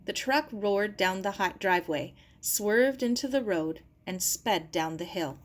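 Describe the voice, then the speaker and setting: A woman's voice: mid-range voice, lively intonation, measured pace. A woman reading a children's novel aloud as scripted narration. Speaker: audiobook reader.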